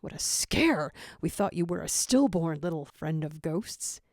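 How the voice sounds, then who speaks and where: A woman's voice: low voice, lively intonation, measured pace, a little mellow, no echo, clean studio sound. A woman voicing a proud, joyful jackal father in a story, speaking in a hearty, exclamatory way. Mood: proud relief.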